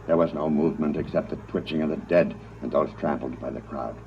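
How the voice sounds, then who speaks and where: A man's voice: rumbling low, steady tone, fairly quick, slight background noise. A male actor playing a solemn news announcer in a 1950s radio drama, formal, urgent and authoritative. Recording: muffled 1950s radio broadcast.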